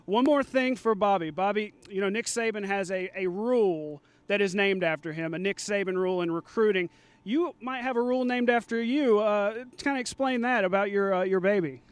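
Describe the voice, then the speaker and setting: A man's voice: high-pitched, normal speed, clean tone, no room sound. A man anchoring a live sportscast in a conversational, jokey style.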